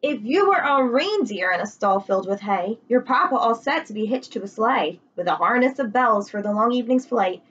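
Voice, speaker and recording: A young woman reads a picture book to children in a fairly high, breathy-edged voice. She is expressive and often turns to her listeners with questions. The webcam recording sounds a little dull. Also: moderate pace, hardly any pauses. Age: young adult. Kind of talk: children's read-aloud with asides. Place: living room, indoors.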